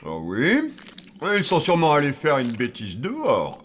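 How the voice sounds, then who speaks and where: A man's voice: fairly high pitch, huge pitch swings, normal speed, fairly bright tone, slight background noise. A man voicing a laid-back zombie father in a cartoon. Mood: unconcerned. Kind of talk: cartoon voice acting.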